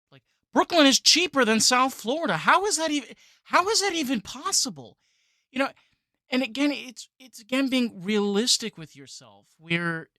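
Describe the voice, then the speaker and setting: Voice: medium pitch, pushed and bright, no echo, studio quality. A podcast host from New York speaking casually and emphatically, leaning on filler phrases. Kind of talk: casual conversation.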